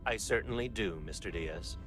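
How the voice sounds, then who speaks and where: A man playing a composed, formal starship science officer in a video game, speaking in a precise, measured way. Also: low voice, fairly bright tone, soft background music. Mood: calm, grave.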